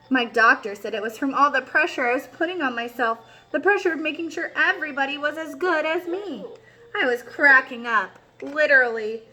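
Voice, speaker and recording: A woman voicing an earnest, eager-to-please egg in an expressive picture-book read-aloud for young children. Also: sits high.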